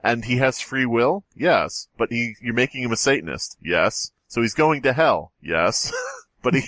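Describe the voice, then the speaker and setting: A man's voice: mid-range voice, animated, normal speed, fairly bright tone, dry recording. A man co-hosting a comedy podcast, riffing playfully and acting out little bits in casual banter. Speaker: podcast host.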